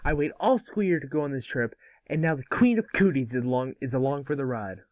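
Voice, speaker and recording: A man's voice: medium pitch, lively intonation, quick pace, natural voice, rough recording. A man voicing a brash, impatient young schoolboy with a whiny manner in a cartoon table read. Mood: whiny indignation.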